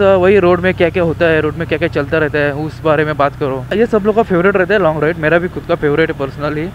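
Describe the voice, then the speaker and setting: A young man, chatting casually and rambling as he rides, mixing in English, recorded on a helmet camera. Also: a little high. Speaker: motovlogger. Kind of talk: casual chat while riding. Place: on a motorbike on city roads. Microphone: helmet action camera. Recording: dull lo-fi camera audio with faint traffic noise.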